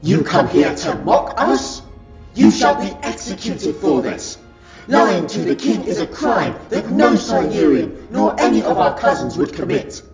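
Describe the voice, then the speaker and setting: Guy doing a pompous scornful lizard alien, creaky highish voice, dull echoey sound w/ loud music. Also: exaggerated voice. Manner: pompous, scornful, formal declaiming. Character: haughty, contemptuous reptilian Silurian male in royal court. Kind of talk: sci-fi audio drama acting.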